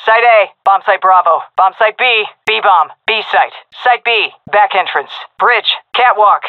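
An actress playing a tough, wisecracking female Navy SEAL commander in a video game, with brisk, cocky military callouts over a radio filter. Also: mid-range voice, dry recording, phone-quality sound. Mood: matter-of-fact. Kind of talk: short tactical shooter voice lines.

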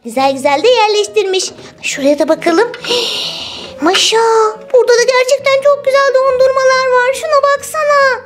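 A woman's voice: very high-pitched, normal speed, pitched above the performer's own voice. A woman voicing sneaky, greedy little schemers in a children's doll story. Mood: gleeful, greedy. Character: sneaky, greedy little witch and girl sidekick. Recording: music bed under the voice.

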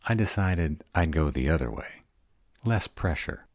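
A man's voice: extremely low pitch, animated, measured pace, dry recording, clean studio sound, full character voice. A man playing a sarcastic bad-boy vampire in an audio roleplay drama, drawling, guarded but devoted. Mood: hurt, guarded.

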